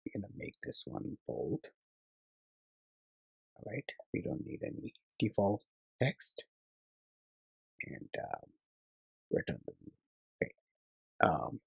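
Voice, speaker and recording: A man's voice: on the low side, creaky, slightly rough, no echo, rough recording. A man narrating a step-by-step coding tutorial as its instructor, hesitantly thinking aloud as he works.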